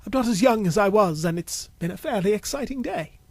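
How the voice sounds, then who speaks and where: A man's voice: high-pitched, animated, fairly quick, darkish tone, dry recording, voice pushed up. A man voicing an eccentric, mischievous old man in an audio story, theatrical and full of teasing exclamations. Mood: breezy, friendly. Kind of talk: audio story character acting.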